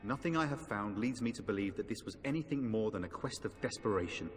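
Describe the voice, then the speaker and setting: A man acting a grave, low-voiced knight in a hall. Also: expressive, a little hiss or hum, lightly acted. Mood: courteous, resigned. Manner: formal, measured, grave. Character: earnest, dispirited knight on a hopeless quest. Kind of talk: fantasy game voice acting. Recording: long hall reverb, faint music behind.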